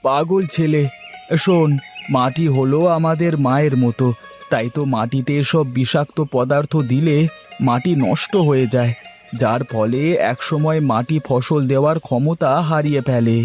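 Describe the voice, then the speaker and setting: A man performs a poor, kindly village farmer for a cartoon. He speaks at a middling pitch in an earnest, gently instructive way, like an elder brother. Music plays beneath the voice. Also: fairly even intonation, unhurried, natural voice. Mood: gentle, wise. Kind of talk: Bangla cartoon dubbing. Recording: music under the voice.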